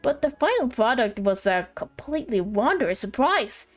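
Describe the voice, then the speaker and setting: Woman reading as a high, eager friendly servant. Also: big pitch swings, normal speed, soft background music. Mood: cheerful, eager. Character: cheerful, friendly servant excited about a food discovery. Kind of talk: reading a game letter aloud in character.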